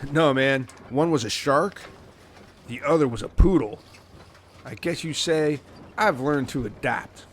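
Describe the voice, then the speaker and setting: A man's voice: mid-range voice, measured pace, long pauses, a little hiss or hum, lightly acted. A man playing an earnest castaway with emu legs in improvised comedy audio, speaking absurdities matter-of-factly.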